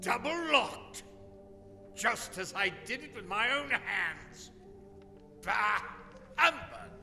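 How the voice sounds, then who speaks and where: Male actor as crotchety, fearful old miser, radio play; bright edgy voice in a reverberant space. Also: very high-pitched, animated, slow, creaky, heavily acted. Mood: grumbling. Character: crotchety old miser man, frightened and grudging. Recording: long reverb, music behind.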